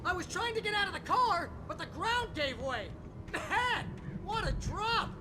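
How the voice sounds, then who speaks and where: An excitable male sidekick from New York in a video game, loyal but full of griping and wisecracks. The voice rides high for a man and jumps around in pitch with animated bluster. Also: measured pace, noisy background. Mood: loud bravado. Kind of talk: comic-relief video game dialogue.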